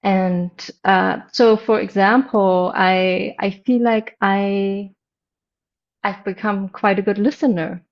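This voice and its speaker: A woman from Germany speaks with a light German accent blended with a deaf accent. She is a meditation teacher, reflective and gentle, at a middle pitch. Her audio sounds slightly dull. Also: fairly even intonation, slow pace. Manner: reflective, gentle, with soft laughs. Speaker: interview guest, meditation teacher. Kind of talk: casual interview.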